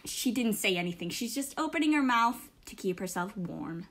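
A woman's voice: mid-range voice, lively intonation, normal speed, background noise, natural voice. A woman voicing a brash, dramatic, bickering schoolgirl in an amateur comic fandub. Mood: defensive.

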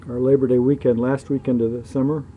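A man giving casual, off-the-cuff video commentary in a matter-of-fact way, often pausing between phrases. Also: mid-range voice, level tone. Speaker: local shipping news publisher filming ships. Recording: muffled dark sound, clear background noise.

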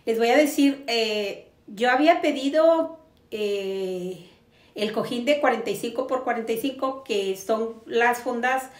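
A woman in her forties talks in a fairly high voice, chatty and enthusiastic. She hosts a casual home decor review, recorded indoors in an echoey living room. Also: unhurried. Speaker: host of a home decor channel. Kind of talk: casual product review to camera. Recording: roomy echo.

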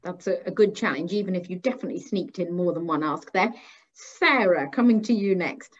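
A woman's voice: fairly low pitch, a little fast. A woman moderating a panel discussion over a video call. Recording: slightly dull video-call audio.

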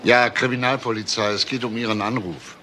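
An actor playing a calm, probing police detective in a crime radio play, matter-of-fact and insistent. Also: male voice, medium pitch, brisk, noisy background, natural voice. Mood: businesslike. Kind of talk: German radio crime drama acting.

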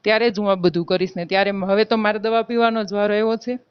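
A woman's voice: medium pitch, level tone, fast, smooth, no room sound, natural voice. A woman playing an aggrieved married village woman in a phone-call drama, with a Gujarati accent, insistently accusing and threatening her lover. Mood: bitter threatening. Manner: insistent, reproachful, argumentative. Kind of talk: staged phone-call drama in colloquial speech. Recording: dull, lo-fi phone call recording.

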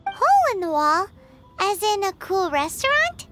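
A woman voicing a tiny, excitable, curious fairy girl in a video game, with a squeaky childlike voice and perky exclamations. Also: unhurried, loud music behind the voice, full character voice. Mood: excited. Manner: perky, exclaiming, talks in third person.

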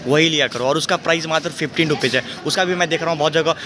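A man's voice: fairly high pitch, racing. A young man talking casually to viewers in a travel vlog, joking with friends, filmed on a phone at arm's length on a busy railway platform. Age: young adult. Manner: chatty, joking, addresses viewers directly. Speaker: vlogger. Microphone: phone camera held at arm's length. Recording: noisy outdoor station ambience.

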